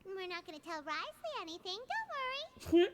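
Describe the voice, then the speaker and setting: A woman's voice: extremely high pitch, very animated, normal speed, heavy background noise. A woman voicing a tiny, childlike fairy companion in a video game, eager, chirpy and a little bossy. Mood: reassuring. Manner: speaks of self in third person, eager. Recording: game music loud behind voice.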